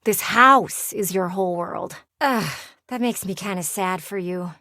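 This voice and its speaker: An actress voicing a playful, sardonic living window in a video game, with a teasing, theatrical and blunt delivery. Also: female voice, mid-range voice, bright, forceful tone, no room sound, studio quality. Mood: condescending, teasing. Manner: teasing, theatrical, blunt, sighs and drawn-out words. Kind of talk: video game character voice acting.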